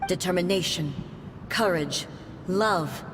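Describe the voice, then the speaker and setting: A woman's voice: fairly low pitch, takes it slowly. A woman playing a solemn, regal immortal warrior goddess in a video game, speaking in a formal, stately way. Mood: stern, imperious. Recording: clear background noise over game audio.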